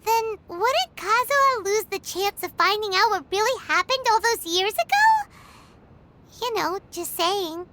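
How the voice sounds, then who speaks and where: Squeaky, very high little fairy girl. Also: unhurried, heavy background noise, full character voice. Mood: worried, doubtful. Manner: speaks of self in third person, squeaky, expressive. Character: tiny excitable childlike fairy girl companion. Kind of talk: video game voice acting.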